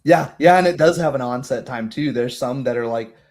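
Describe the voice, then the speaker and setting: A man's voice: mid-range voice, a little fast, a little mellow. A man hosting a casual, informal group chat after a tabletop game as the game master. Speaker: game master and stream host.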